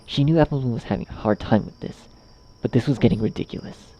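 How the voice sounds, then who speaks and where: A man's voice: medium pitch, fast talker, dark tone, lo-fi sound. A man narrating a scripted horror story.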